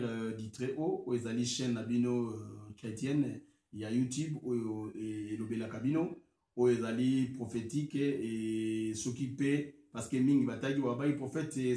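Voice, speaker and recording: Congolese male preacher, mid-pitched voice, halting delivery that builds to emphasis, reverberant room. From Congo (DRC). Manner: hesitant, many fillers and restarts; grows louder and emphatic when explaining scripture. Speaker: prophet and preacher. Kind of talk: sermon.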